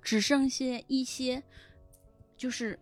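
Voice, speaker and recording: A woman explaining things in animated casual chat as a guest on a talk show. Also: sits high, takes it slowly, no room sound. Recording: background music under the voice.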